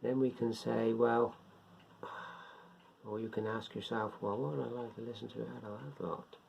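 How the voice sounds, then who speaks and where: A man giving an informal, unscripted beginners' explainer in a rambling, step-by-step way, repeating himself, with a gravelly, husky voice. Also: mid-range voice, little pitch movement, moderate pace, a little mellow, a little hiss or hum. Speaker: hobbyist presenter of a beginners' guide. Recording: dull lo-fi home recording.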